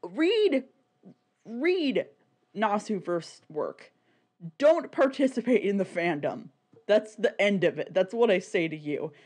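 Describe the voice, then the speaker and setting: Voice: mid-range voice, big pitch swings, slow, a little mellow, husky. A podcast co-host chatting casually and giving opinions in a rambling, emphatic way, swearing freely. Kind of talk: casual, opinionated podcast chat about niche fan media.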